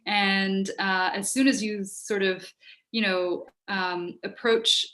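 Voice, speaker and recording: A woman in her thirties, a native Hungarian speaker, talking informally and haltingly as she answers questions as a writer on a video call. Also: medium pitch, fairly even intonation, fairly bright tone. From United States, Hungarian-speaking home. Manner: thoughtful, halting, thinking aloud. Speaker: writer giving an online workshop. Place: book-lined room at home. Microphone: video call, wearing headphones.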